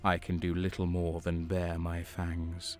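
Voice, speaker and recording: A man's voice: low-pitched, monotone, measured pace, darkish tone. An actor playing a haunted, desperate renegade guardsman in an audio drama, dramatic and bitter, narrating his own story. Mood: grim, resigned. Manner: dramatic, stammering under stress. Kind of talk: audio drama acting with first-person narration. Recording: music behind the voice.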